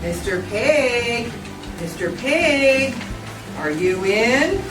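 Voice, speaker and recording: Lady doing a polite storybook wolf, slow singsong calls, raised pitch, distant in echoey room. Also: sing-song, exaggerated voice. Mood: calling out politely. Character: male wolf claiming innocence, politely calling on neighbors. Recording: echoey room, far mic, music behind.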